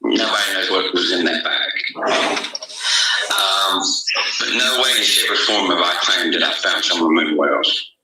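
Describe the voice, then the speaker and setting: A man calling in as a guest searcher, telling his account in a halting, repetitive way. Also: sits low, noisy background. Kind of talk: casual call-in conversation.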